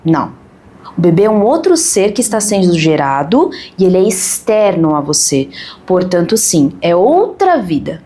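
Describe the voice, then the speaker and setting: Woman guest on a faith podcast; mellow, earnest and firm. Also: lowish voice, sing-song, normal speed.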